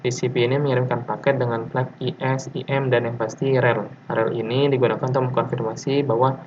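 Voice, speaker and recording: A man gives an informal lecture presentation over slides, explaining technical material and often pausing mid-sentence. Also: medium pitch, flat delivery, darkish tone. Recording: dull lo-fi audio with faint noise.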